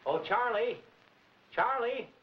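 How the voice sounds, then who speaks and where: An actor playing a folksy, gullible middle-aged husband who wisecracks in a 1940s film comedy. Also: male voice, extremely high pitch, expressive, takes it slowly, full character voice. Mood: chirpy, breezy. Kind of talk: 1940s radio-style film comedy acting. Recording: muffled, hissy 1940s film soundtrack.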